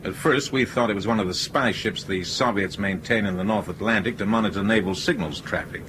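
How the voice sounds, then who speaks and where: A man playing a shrewd, suspicious businessman in a radio drama, probing in a measured, hesitant way. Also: fairly low pitch, background noise, close to an everyday voice. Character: shrewd, suspicious businessman who knows military electronics. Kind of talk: broadcast radio drama acting.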